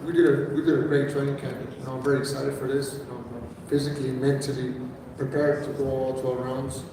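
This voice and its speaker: Flat-voiced male boxer in an echoey hall. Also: mid-range voice, fairly quick, background noise. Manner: earnest, repeats "you know". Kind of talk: press conference answers. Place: large event room. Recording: echoey hall picked up from far off.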